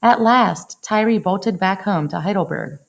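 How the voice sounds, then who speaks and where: A woman reading a picture book aloud in an expressive storytelling style, dwelling on action words with dramatic phrasing. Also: medium pitch, measured pace, creaky, muffled recording. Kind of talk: expressive children's read-aloud narration.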